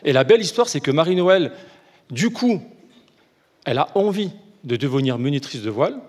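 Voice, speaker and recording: A man's voice: fairly high pitch, expressive, moderate pace, a little mellow, husky. A man from an association earnestly telling a story on stage, hesitant and full of fillers. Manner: earnest, hesitant, emotional build. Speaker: association worker receiving prize. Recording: reverberant hall.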